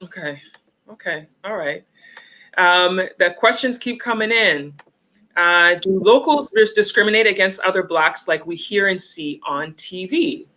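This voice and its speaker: Bright Canadian woman hosting a live chat. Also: on the low side, animated, a little slow. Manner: chatty, reads viewer questions, laughs easily. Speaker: host. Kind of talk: live-stream interview.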